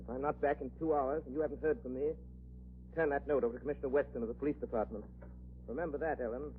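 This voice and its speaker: An actor playing a composed, authoritative gentleman sleuth, crisp and grave, in 1940s radio style on a tinny recording. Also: mid-range voice, steady tone, rapid-fire, a little hiss or hum, lightly acted. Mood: grave, firm.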